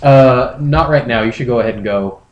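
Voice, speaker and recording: A man's voice: medium pitch, brisk, highs cut off. A man hosting a comedy podcast in casual banter, with a mock-serious, profane manner. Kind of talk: casual banter and readings of internet posts. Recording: roomy echo, clear background noise.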